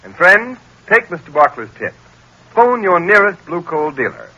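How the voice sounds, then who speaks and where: A man works as a formal, emphatic old-time radio announcer. His voice is slightly high, forceful and husky. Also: very animated, normal speed, rough recording. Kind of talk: scripted 1930s radio announcing.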